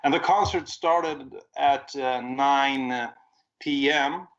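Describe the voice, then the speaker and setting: A man's voice: medium pitch, takes it slowly, fairly bright tone. A man with a Swedish accent, casually recounting a concert on a podcast in a rambling, self-correcting way. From Sweden. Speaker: podcast co-host. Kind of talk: casual chat. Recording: dull lo-fi audio.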